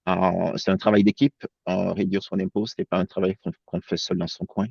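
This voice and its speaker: Low gravelly man, halting webinar talk. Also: little pitch movement, darkish tone, no echo. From France. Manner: hesitant, many fillers and restarts. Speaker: accountant guest. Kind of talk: webinar presentation. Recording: dull lo-fi sound.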